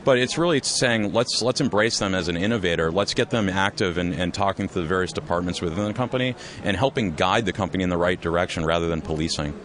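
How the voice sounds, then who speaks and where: Low-pitched, fast-talking male founder, measured and explanatory. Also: fairly bright tone. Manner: measured, explanatory, with frequent restarts. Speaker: company co-founder and CEO, interview guest. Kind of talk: conversational business interview. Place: trade expo floor.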